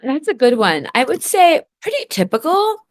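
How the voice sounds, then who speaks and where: A woman's voice: sits high, big pitch swings, normal speed. Chatty American woman in casual conversation. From Los Angeles, USA. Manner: appreciative, chatty, informal. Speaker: town hall co-host. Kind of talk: casual chat.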